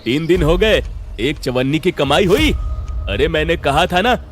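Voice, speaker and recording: A man's voice: a little high, animated, measured pace. A man voicing a greedy, bullying village sweet-shop moneylender in a cartoon story, taunting and threatening. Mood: mocking, threatening.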